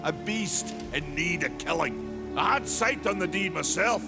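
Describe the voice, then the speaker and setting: A man playing a proud, stern old warrior in a fantasy video game, gravelly, with slow, weighty, archaic speech. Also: on the high side, animated, over loud music. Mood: grave, brooding. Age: older. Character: proud old male warrior maker, stern, battle-worn. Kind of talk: video game cutscene acting.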